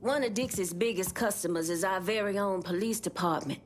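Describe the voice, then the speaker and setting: An actress playing a composed, knowing Vodou shopkeeper who leads an underground resistance in a video game, speaking in a measured, conspiratorial way. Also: female voice, mid-range voice, over music, lightly acted. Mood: warm, resolute. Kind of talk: video game character dialogue.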